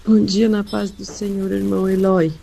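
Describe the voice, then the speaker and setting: A hoarse Brazilian woman with a cold, calling in to a radio show to earnestly ask for prayer. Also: mid-range voice, little pitch movement, moderate pace, dark tone. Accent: Brazilian Portuguese. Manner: earnest, pleading. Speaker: caller. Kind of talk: call-in prayer request.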